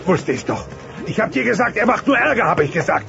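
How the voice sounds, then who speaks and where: An actor playing an excitable, cowardly dinosaur dad, whiny, sarcastic and melodramatic, in a comic children's audio drama. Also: male voice, high voice, fast talker, vocal fry, heavily acted. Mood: annoyed. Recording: dull cassette sound, music behind.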